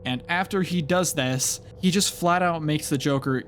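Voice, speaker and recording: Young guy rambling thru a review video, kinda loose and chatty, soft music under it. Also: fairly high pitch, moderate pace. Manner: chatty, loosely scripted, occasional stumbles. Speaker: YouTuber reviewing horror series. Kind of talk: casual video essay commentary.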